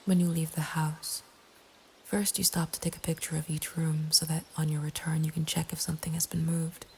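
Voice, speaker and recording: A woman narrating a scary story in a breathy, hushed ASMR whisper, slow and deliberate. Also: sits low, level tone, a little hiss or hum. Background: nature ambience.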